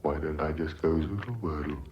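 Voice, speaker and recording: An actor playing a gloomy, depressed robot in a radio comedy, droning with hollow, self-pitying deadpan. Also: male voice, very deep voice, moderate pace, muffled recording, slight background noise. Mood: gloomy, resigned. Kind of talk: radio comedy drama acting.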